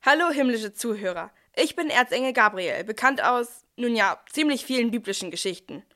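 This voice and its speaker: A chatty, cheeky archangel , played by a woman, speaks in a fairly high voice. The figure presents a nativity radio play like a confident heavenly messenger with something to sell. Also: lively intonation, moderate pace, sharp tone. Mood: upbeat, promotional.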